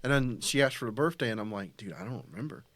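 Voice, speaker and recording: A man's voice: medium pitch, expressive, fast, fairly bright tone, dry recording. A man in his thirties co-hosting a casual podcast, rambling through stories and dropping to a mutter. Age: 30s. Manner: rambling storyteller, drops to mutters. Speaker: podcast co-host. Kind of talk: casual chat.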